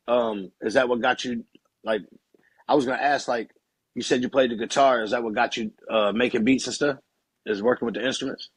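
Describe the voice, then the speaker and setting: A man hosting a casual interview, asking questions haltingly with many restarts. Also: mid-range voice, fairly even intonation, breathy edge, no room sound. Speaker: podcast host.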